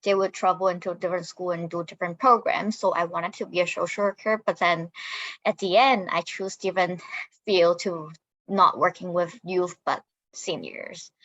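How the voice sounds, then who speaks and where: A woman's voice: fairly low pitch, fairly even intonation, normal speed, a bit bright, dry recording, lo-fi sound. A young woman, talking casually as a guest speaker on a panel. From Hong Kong. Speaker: guest speaker, social worker.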